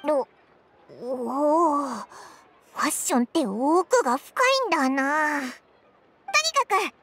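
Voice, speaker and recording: Very high-pitched little sprite companion. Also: female voice, slow, slight background noise. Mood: curious, impressed. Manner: blunt, boyish childlike phrasing. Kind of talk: video game voice acting in Japanese. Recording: loud music behind the voice. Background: game music.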